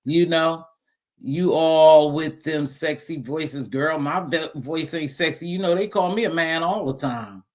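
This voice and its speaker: A middle-aged woman with a deep voice hosting a casual live stream, talking bluntly and sassily to her viewers. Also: a little slow, a little mellow. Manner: blunt, sassy, talks straight to viewers. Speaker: live stream host reading chat.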